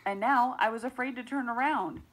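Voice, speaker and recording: A woman's voice: fairly high pitch, fairly quick, a bit bright. A woman playing a lovestruck, easily embarrassed teenage schoolgirl. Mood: anxious. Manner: confiding, self-deprecating. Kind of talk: scripted first-person animated story narration. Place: played back from a laptop in a bedroom.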